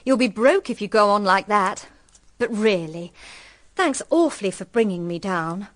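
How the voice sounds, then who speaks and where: An actress playing a girlish, excitable younger daughter with an upper-class British accent, gushing and breezy in a period radio drama. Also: mid-range voice, normal speed, close to an everyday voice. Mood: breezy, grateful. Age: young adult.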